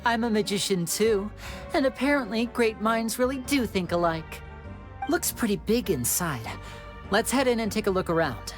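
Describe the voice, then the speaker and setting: A woman's voice: medium pitch, lively intonation, normal speed, music in the background, natural voice. A woman voicing a suave young male stage magician in a video game, clever, playful and theatrical as they muse aloud. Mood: pleased, confident. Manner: theatrical, musing. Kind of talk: video game character voice acting.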